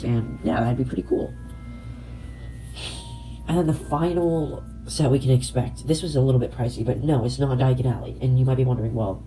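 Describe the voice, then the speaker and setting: A man's voice: medium pitch, dark tone, low-quality recording. A young man with a congested, stuffy nose, rambling casually with many fillers and self-corrections. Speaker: LEGO video creator. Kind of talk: casual speculation vlog.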